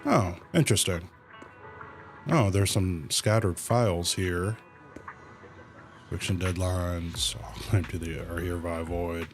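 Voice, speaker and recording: Slow, low-voiced man streaming a game. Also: soft background music. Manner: thinking aloud, halting. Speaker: livestreamer playing a video game. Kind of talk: casual gameplay commentary.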